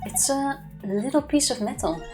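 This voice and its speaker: A woman's voice: high voice, animated, normal speed, over loud music. A woman co-hosting a let's-play, chatting casually through puzzles with playful banter. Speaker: co-host playing a video game.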